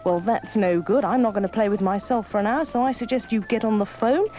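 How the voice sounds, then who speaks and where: A woman's voice: medium pitch, fairly quick, mellow, soft background music. A woman hosting a radio phone-in, chatting live and coaxing listeners directly. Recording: dull, lo-fi radio clip.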